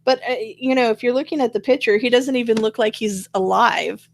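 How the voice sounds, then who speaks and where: A woman hosting a casual talk show, chatting in a halting, wandering way. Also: fairly high pitch, gravelly. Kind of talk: casual conversational commentary.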